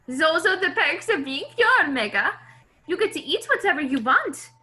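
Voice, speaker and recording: Casting: childish villain boss female performer, forceful high voice, lively swings, commanding, petulant, comedic stage reading. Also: normal speed, heavily acted. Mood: pompous commanding. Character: pompous, childish villain boss, bossy and possessive. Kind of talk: amateur comedic script reading.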